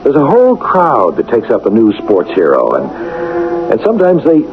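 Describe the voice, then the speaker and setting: An actor playing a folksy, reflective football coach who narrates a radio drama in a conversational, musing way. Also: male voice, medium pitch, wildly swooping pitch, moderate pace. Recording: tinny vintage radio broadcast with music.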